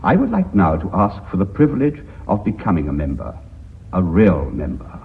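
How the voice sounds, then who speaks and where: An actor playing a hesitant, self-important politician with a British accent, speaking formally and oratorically in a radio drama. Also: male voice, sits low, wildly swooping pitch, normal speed, creaky, heavily acted. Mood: formal, aggrieved. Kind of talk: BBC radio drama acting. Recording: thin, phone-like old radio recording.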